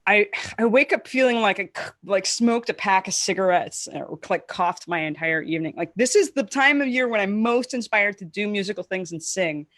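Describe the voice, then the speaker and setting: A woman's voice: mid-range voice, lively intonation, moderate pace, few pauses, a bit bright, dry recording. Casual podcast lady, scratchy throat, cusses a lot, laughs easy, super dry recording. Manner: blunt, swears freely, laughs often. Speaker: podcast co-host. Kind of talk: casual chat.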